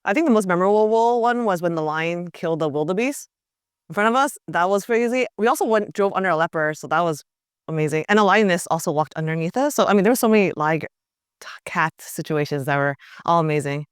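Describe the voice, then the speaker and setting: Excited young-sounding woman traveler. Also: fairly low pitch, fast, clean tone, dry recording, clean studio sound. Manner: excited, frequent "like" fillers. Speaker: tour participant.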